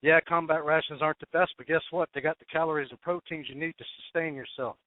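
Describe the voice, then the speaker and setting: Blunt higher-voiced man on a phone line. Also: fairly even intonation, quick pace, dry recording. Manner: blunt, emphatic, clipped phrases. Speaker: truck driver calling in. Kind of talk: unscripted advice on a conference call. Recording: dry, narrow telephone line.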